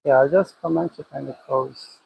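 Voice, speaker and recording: A man's voice: mid-range voice, steady tone, normal speed, gravelly, husky, no echo, narrow, tinny audio. A man with a Jamaican accent, a cattle farmer livestreaming from his pasture, thinking aloud and repeating his phrases as he talks. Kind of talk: casual livestream chat, reading comments. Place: outdoors by a cow pasture.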